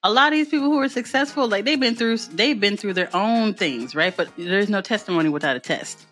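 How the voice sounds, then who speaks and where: A woman's voice: mid-range voice, fairly quick, fairly bright tone, soft background music. A woman entrepreneur guest telling her story in a candid, colloquial way, laughing often and driving points home with emphasis. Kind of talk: casual storytelling chat.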